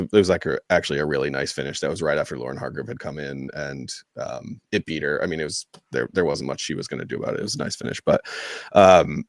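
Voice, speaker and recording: A man on a podcast panel giving casual sports analysis, explaining step by step with halting repeats. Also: low voice, no room sound, clean studio sound.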